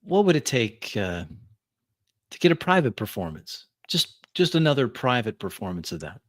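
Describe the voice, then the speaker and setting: Male biker charmer, played by a game player, halting and persuasive, very dry close sound. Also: medium pitch, big pitch swings, no room sound, voice pushed up, close to an everyday voice. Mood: smooth flattery. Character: smooth, coaxing male biker courting a singer.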